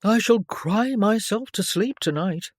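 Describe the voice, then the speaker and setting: A woman's voice: mid-range voice, lively intonation, a little slow, dry recording, studio quality, pitched above the performer's own voice. Woman voicing a suave, teasing young viscount. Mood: gallant.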